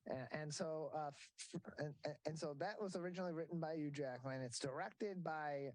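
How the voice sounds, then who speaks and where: A man's voice: a little high, normal speed, dry recording. A man hosting a local TV interview who stumbles over fillers and repeats words as he restarts. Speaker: host.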